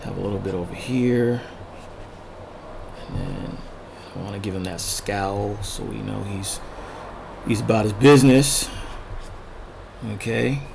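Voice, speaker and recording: A man casually narrating a drawing tutorial as he sketches, thinking aloud in short unhurried fragments, his voice a little worn from talking. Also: lowish voice, creaky, background noise. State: losing his voice, throat-clearing. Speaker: art tutorial host, illustrator.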